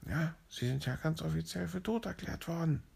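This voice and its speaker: A man voicing a bossy male parrot police officer who scolds officiously, in a gravelly husky voice. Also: on the high side, normal speed, darkish tone, voice pushed up, heavily acted. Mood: scolding.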